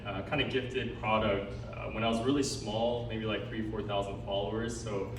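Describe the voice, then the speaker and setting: A man talks casually on a panel, often halting and repeating himself. His voice sits a bit low. He is recorded at a distance in a roomy, noisy space. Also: fairly even intonation. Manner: informal, halting, repeats words. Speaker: content creator, panel guest. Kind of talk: casual personal account on a live panel. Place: indoor department store event. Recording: roomy event space, distant mic, clear background noise.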